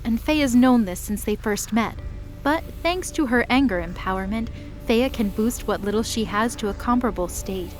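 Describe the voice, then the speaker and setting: A woman giving scripted narration of a fantasy battle in a steady, measured way. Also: medium pitch. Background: music.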